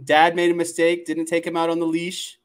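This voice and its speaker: A man's voice: fairly high pitch, monotone, brisk. A man co-hosting a sports podcast in casual, conversational chat. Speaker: podcast co-host.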